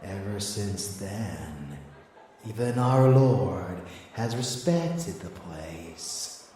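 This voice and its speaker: Casting: male actor as menacing yet doting vampire boyfriend, teasing ASMR delivery, very unhurried, heard in a very roomy space. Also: deep voice, big pitch swings, dark tone, distant mic, slight background noise, heavily acted. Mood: musing. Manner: slow, teasing, boastful, doting. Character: rebellious, devoted male vampire lover with menace. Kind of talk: intimate ASMR audio roleplay.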